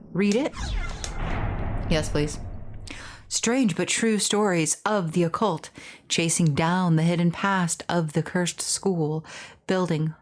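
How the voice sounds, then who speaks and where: Low-voiced woman reading a game aloud. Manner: reads dialogue theatrically between casual asides. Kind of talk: let's play narration, reading on-screen text aloud.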